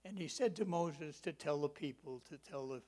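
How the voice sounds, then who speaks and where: An elderly man in his eighties delivers a sermon in a slightly raised voice. He leans hard on key words, stretching them out, then slips into quiet, homely asides and personal stories. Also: a little high, normal speed. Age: 80s. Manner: folksy personal storytelling. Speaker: preacher.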